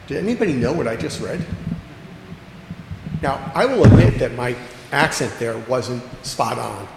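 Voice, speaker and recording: A man preaching a sermon as pastor, earnest, slowing down to stress key phrases and repeating lines for emphasis. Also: medium pitch, animated, vocal fry. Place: church sanctuary. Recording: echoey church hall with long reverb, faint background noise.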